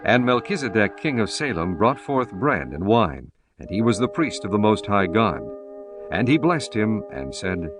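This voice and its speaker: Solemn low-voiced male narrator. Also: big pitch swings, over music. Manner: slow, measured, solemn recitation. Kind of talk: dramatized scripture reading.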